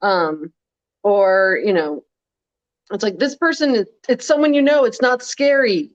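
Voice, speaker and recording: A woman co-hosting a casual chat show, talking in a wry, chatty way with quick asides and a rather deep voice. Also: lo-fi sound. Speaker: co-host.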